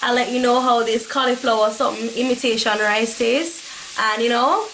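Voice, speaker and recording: Slightly high voiced woman trying keto food, blunt and honest, some sizzle in the kitchen. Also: moderate pace, a bit bright, slight background noise, rough recording. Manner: blunt, candid, trailing off and restarting. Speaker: taste tester. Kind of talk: casual chat.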